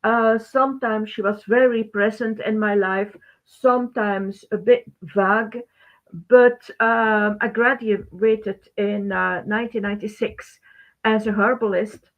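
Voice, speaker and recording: A middle-aged woman from Belgium, a native Dutch speaker with a Belgian Dutch accent, presenting a webinar informally in halting, non-native phrasing. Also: mid-range voice, fairly even intonation. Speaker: webinar host, teacher. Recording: thin phone-like band-limited webinar audio.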